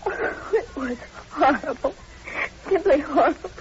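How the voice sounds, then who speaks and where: A woman's voice: sits high, measured pace. An actress playing a frightened, shaken young woman in a 1940s radio horror drama, hesitant and melodramatic. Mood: horrified. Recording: muffled, hissy old radio recording.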